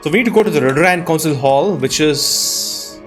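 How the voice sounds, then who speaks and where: A man's voice: on the high side, animated, normal speed, faint music behind. A man giving casual game commentary, musing aloud and exclaiming at the sights. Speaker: let's player and show host.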